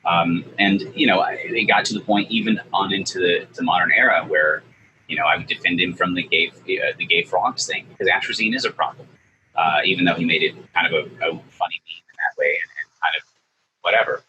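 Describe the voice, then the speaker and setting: A man speaking as an interview guest in a monotone. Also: low voice, a little fast, lo-fi sound, slight background noise. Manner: monotone, forceful. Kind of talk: interview conversation.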